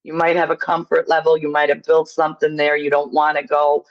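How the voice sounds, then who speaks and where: A woman's voice: low voice, completely flat delivery, fairly quick, lo-fi sound. A woman from Poland, chatting casually as an opinionated call-in guest, emphatic and repeating herself to make a point.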